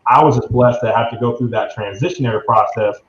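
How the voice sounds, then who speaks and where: A man's voice: mid-range voice, fast talker. A man in his early twenties giving confident, salesy explanations as an interview guest, often tagging phrases with "right?". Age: 20s. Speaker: interview guest, young business executive. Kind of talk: conversational interview answer, explanatory pitch. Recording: dull lo-fi sound, roomy echo, faint noise.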